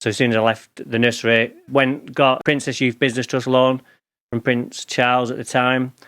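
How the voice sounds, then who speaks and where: A man's voice: mid-range voice, flat delivery, normal speed, a bit bright, clean tone. Lancashire man telling candid stories. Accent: Lancashire English. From England. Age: 40s. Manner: rambling, candid storytelling with restarts. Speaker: guest. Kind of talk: casual interview chat.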